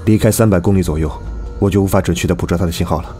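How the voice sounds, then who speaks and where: Man playing a deep-voiced, resolute team captain. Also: racing, dark tone, faint music behind, natural voice. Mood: commanding. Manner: terse, authoritative. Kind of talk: radio drama acting.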